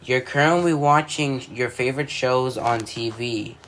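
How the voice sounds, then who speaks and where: A man's voice: mid-range voice, measured pace, a bit bright, poor audio. A man doing amateur scripted narration in an announcer-like way, stumbling over words at times. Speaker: video creator narrating own fictional alert scenario. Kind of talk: amateur scripted narration of mock emergency broadcasts. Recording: noticeable background noise.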